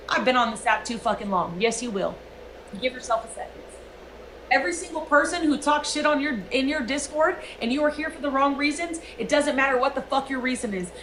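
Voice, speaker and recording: A high-pitched, sharp-toned woman rants into her stream, swearing and hammering every point. Her voice rings in an echoey room, with a faint hiss under it. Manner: profane, emphatic ranting. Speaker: livestreamer. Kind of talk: heated livestream rant.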